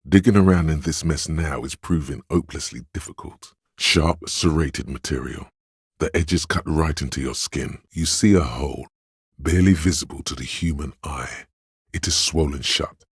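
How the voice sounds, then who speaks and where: A calm, clinical inner sense that speaks straight into the player's head, its voice extremely low and rough with breath. It narrates in a measured, detached way, recorded bone-dry and very close. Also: male voice, no room sound, heavily acted. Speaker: voice actor. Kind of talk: narrated game skill voice.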